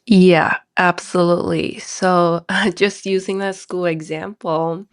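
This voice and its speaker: A woman's voice: lowish voice, slow, clean tone. A woman from Malaysia, a counselor being interviewed, talking in a chatty way and leaning on "like" often. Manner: chatty, leans on "like" as a filler, relaxed non-native-sounding grammar. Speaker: guest, mental health counselor. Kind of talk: casual interview talk.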